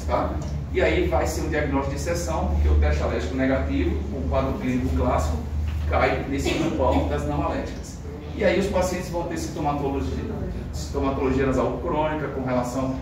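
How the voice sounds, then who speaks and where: A man with a Brazilian Portuguese accent giving a conversational medical lecture, often checking in with the class. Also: medium pitch. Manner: conversational, frequent fillers and tag questions. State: has a cold. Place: lecture room. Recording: very echoey room, distant mic, noise.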